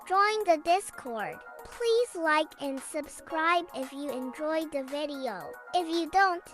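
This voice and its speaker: A woman's voice: sits high, expressive, slow, fairly bright tone, soft background music, exaggerated voice. A woman voicing a cute, playfully threatening little mascot in a scripted channel outro, sweet in manner.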